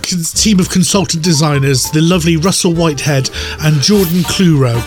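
A man's voice: a little high, normal speed. A British man co-hosting a radio show in casual chat. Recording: music playing behind the voice. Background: music.

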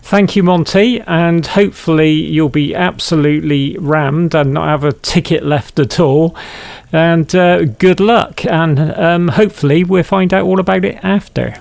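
A man co-hosting a radio show in a casual, chatty style, with a creaky voice. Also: a little high, a little slow, darkish tone, no echo.

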